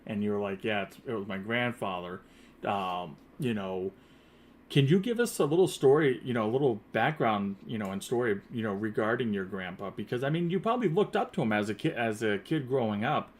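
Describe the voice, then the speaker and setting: A man's voice: lowish voice, lively intonation, a little fast. A man hosting a podcast interview, talking casually and rambling with many fillers and restarts. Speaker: podcast host. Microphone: earpiece headset.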